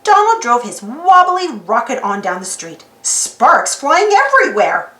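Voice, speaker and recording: A woman reading a children's storybook aloud in an animated narrating style. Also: high-pitched, unhurried. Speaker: storybook reader. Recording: roomy, slightly distant mic.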